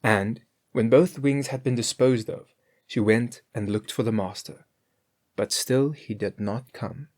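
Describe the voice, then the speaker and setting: A storyteller reading a scripted fairy tale in an expressive, measured way. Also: medium pitch.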